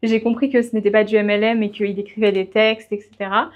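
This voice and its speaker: A woman's voice: mid-range voice, level tone, fast talker, highs cut off. A young woman podcast guest, telling her own story in a casual, conversational way. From of Portuguese family background. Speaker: podcast guest, freelance web writer.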